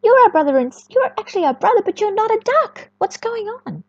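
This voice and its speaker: A puzzled young storybook duck, played by a woman. The voice is very high and lively, full of exclaiming questions for an odd brother. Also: fairly quick, no room sound, dull audio, full character voice. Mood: baffled.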